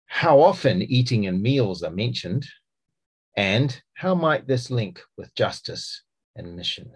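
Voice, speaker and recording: A man with a New Zealand accent, a church speaker reading scripture aloud for a podcast in a measured, expressive way. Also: medium pitch, breathy edge.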